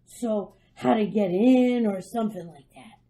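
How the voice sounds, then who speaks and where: A woman playing a headstrong, impatient little girl in a radio drama, loud, definite and childishly eager. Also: medium pitch, a little slow, natural voice. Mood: eager confiding. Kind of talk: old-time radio drama acting.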